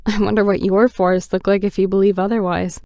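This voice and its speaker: A woman's voice: mid-range voice, level tone, brisk, darkish tone, no echo, lightly acted. A woman playing a wry, inquisitive fantasy adventurer in a fan dub, articulate in delivery.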